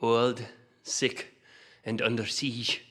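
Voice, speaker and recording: An actor playing a stern, honor-bound aging soldier in a fantasy audio drama, grave, terse and regretful. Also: male voice, mid-range voice, level tone, extremely slow, a bit bright.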